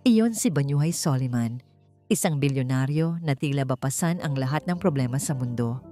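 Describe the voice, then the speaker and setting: A woman's voice: rumbling low, animated, normal speed, dark tone. A woman narrating a scripted romantic drama as an audiobook storyteller, in a dramatic reading style. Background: music.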